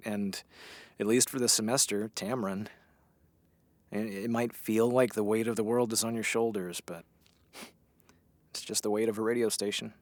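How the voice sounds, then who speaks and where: A man's voice: lowish voice, no room sound, natural voice. A man playing a wry, loyal radio station colleague in a comedy audio drama, with a teasing, sardonic manner. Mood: reassuring.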